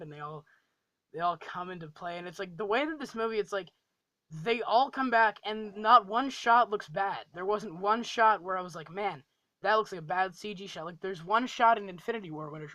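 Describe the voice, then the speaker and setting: A man's voice: sits high, bright, forceful tone, no echo. A young man giving a casual, enthusiastic video review, gushing and rambling and repeating phrases for emphasis. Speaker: film review vlogger.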